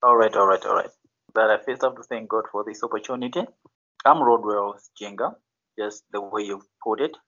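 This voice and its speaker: A Malawian pastor answering interview questions slowly and hesitantly, with many fillers and restarts. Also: male voice, on the low side, pushed and bright, lo-fi sound.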